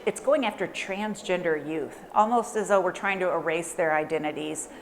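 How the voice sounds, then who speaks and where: A woman's voice: fairly low pitch, moderate pace, a little hiss or hum. A woman answering in a news interview, speaking unscripted.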